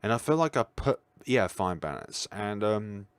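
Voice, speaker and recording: Tired low-voiced man chatting on stream. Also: big pitch swings, moderate pace, clear, resonant tone, no room sound. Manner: musing, reading chat aloud. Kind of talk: casual livestream chat.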